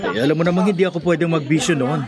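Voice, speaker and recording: A man's voice: on the high side, very fast, natural voice. A man acting in a radio drama as a hesitant, faltering man in his late thirties. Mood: uneasy. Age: late 30s. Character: hesitant man nearing forty, aspiring politician drawn into vice.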